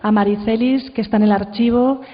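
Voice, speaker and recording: A woman's voice: on the high side, steady tone, brisk. A woman presenting at an event, giving an informal spoken introduction. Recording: muffled, reverberant hall.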